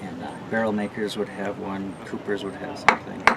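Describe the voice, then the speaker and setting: A man demonstrating traditional woodworking, explaining his tools in a relaxed way outdoors. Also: deep voice, brisk, gravelly. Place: outdoor craft demonstration area. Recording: loud outdoor noise.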